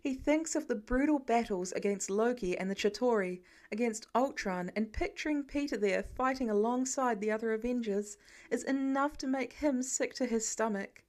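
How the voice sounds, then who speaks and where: Higher-voiced woman narrating a story. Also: normal speed, a little mellow. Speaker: fan fiction podfic narrator.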